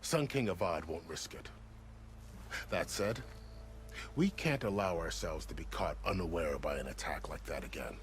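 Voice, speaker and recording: An actor playing a seasoned, gracious frontier military commander in a video game, speaking in a measured, formal, matter-of-fact way. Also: male voice, low voice, lively intonation, a little hiss or hum. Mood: steady, courteous. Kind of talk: video game dialogue acting.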